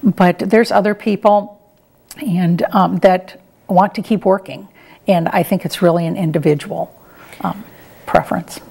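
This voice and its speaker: A woman's voice: fairly low pitch, steady tone, measured pace, gravelly, a little mellow, breathy edge. A middle-aged woman answering interview questions in a conversational, plain-spoken and somewhat hesitant way. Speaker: interview guest, retired air traffic controller and professor.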